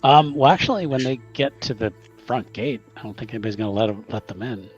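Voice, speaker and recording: A man playing a tabletop roleplaying game, talking casually and haltingly, on a slightly dull microphone. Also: mid-range voice, breathy edge, soft background music.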